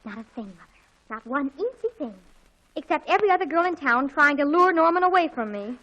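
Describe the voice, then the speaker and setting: A woman's voice: sits high, lively intonation, fairly quick, pushed and bright, muffled recording. A woman playing a boy-crazy, easily offended teenage girl in a dramatic, indignant 1940s radio comedy style. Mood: sulky, dramatic.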